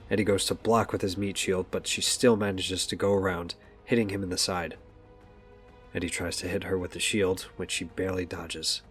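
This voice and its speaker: A man with a low, deep voice narrates a scripted story. Soft music plays behind his narration. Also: flat delivery, moderate pace.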